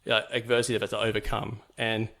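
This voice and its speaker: A man's voice: fairly low pitch, monotone, fast talker, gravelly, fairly bright tone. A young man from New Zealand, a podcast guest, telling his life story in a casual, rambling way with many fillers and restarts.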